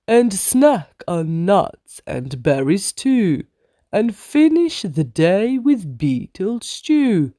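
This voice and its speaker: A wise old mother-bird healer from a storybook, acted out by a woman. She booms out firm, encouraging instructions very slowly and deliberately, with lively rises and falls and a soft-edged, mellow tone. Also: no room sound, studio quality, exaggerated voice. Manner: booming, instructive, measured.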